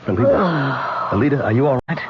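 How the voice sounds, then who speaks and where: A man's voice: mid-range voice, sing-song, fairly quick, lightly acted. An actor playing an anxious, haunted man pleading for help in an old-time radio drama. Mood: worried. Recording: muffled, noisy old radio recording.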